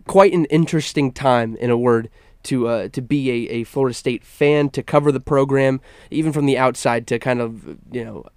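A man's voice: medium pitch, smooth, dry recording. A young man runs a college sports talk radio show, his nose a little stuffed up. He keeps an upbeat broadcast patter and often trips over his words and restarts. The sound is dry and close, with no room around it. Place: campus radio studio.

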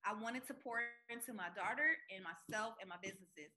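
A woman in her forties speaks as a podcast guest, with a very bright, forceful tone. She tells her stories emphatically, lifting her voice to drive points home, and her pitch sits in the middle for a woman. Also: a little fast, faint music behind. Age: 40s. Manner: emphatic, animated storyteller. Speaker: guest entrepreneur. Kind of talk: podcast interview.

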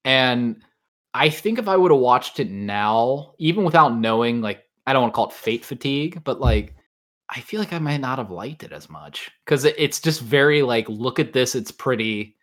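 A man's voice: medium pitch, expressive, normal speed, a bit bright. A man hosting a podcast, chatting casually and informally about his hobby, often hedging what he says. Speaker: podcast host. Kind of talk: casual chat about visual novels and anime.

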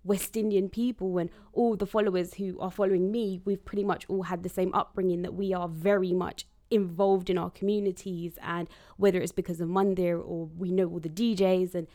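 A woman's voice: fairly low pitch, little pitch movement, a little fast, few pauses, dark tone. Earnest woman born in Trinidad. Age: late 20s to early 30s. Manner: earnest, flowing, emphatic. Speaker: guest, radiographer. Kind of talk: casual podcast chat.

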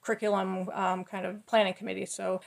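A woman hosting a podcast, a nursing teacher, talking in a measured, reflective, academic way. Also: mid-range voice, flat delivery, gravelly. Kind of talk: conversational podcast talk.

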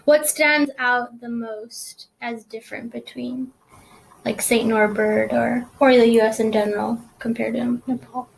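A woman's voice: on the high side, fairly even intonation, measured pace, gravelly, poor audio. A woman interviewing a student, who asks short questions and murmurs encouragement often. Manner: attentive, frequent affirming murmurs. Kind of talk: casual interview.

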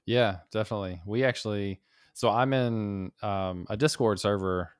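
A man's voice: on the low side, moderate pace, clear, resonant tone, no echo, clean studio sound. A man appearing as a podcast guest, chatting enthusiastically and saying "like" a lot. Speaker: guest, developer and YouTuber. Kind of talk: casual podcast conversation.

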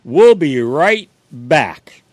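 A man's voice: a little high, big pitch swings, very slow, music in the background. A man co-hosting a home repair radio show, handing out advice and comic banter with teasing wisecracks.

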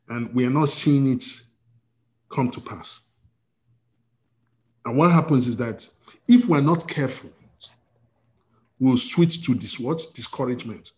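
A man's voice: mid-range voice, a little mellow. A male pastor leading an interactive sermon over a video call, talking with many repeated restarts and emphatic rising bursts.

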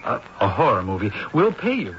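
A breezy, persuasive film director who stumbles over his words now and then. His voice is bright and forceful. The sound is dull with faint hiss, like an old radio play. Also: on the low side, wildly swooping pitch, brisk, exaggerated voice. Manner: breezy, persuasive, stammers a little. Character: eager, easygoing film director. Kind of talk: 1970s radio drama acting.

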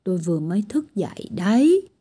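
A woman voices a clumsy, cheeky little storybook boy who answers short and blunt. His voice stays close to her own warm, mellow and breathy tone, and sounds barely disguised. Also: medium pitch, sing-song, fairly quick. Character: tiny boy Mít Đặc, cheeky and clumsy.

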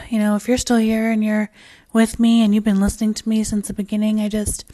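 A woman hosting her own podcast in a casual, rambling solo chat, her voice raspy and hoarse as she loses it, with plenty of fillers and restarts. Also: mid-range voice, completely flat delivery, a little fast, mellow, dry recording. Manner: swears casually. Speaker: podcast host.

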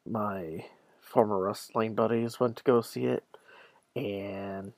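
A man's voice: lowish voice, creaky, a little mellow, dry recording. A man hosting a solo podcast, talking casually and conversationally straight to his listeners. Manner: conversational, addresses listeners directly. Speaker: podcast host.

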